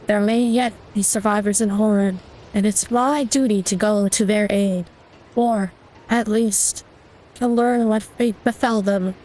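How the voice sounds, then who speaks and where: A man's voice: high voice, steady tone, a little slow, a little mellow, pitched above the performer's own voice, rough recording. A man putting on a solemn woman cleric. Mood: solemn resolve. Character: commanding armored woman cleric, grave. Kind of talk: reading game text aloud.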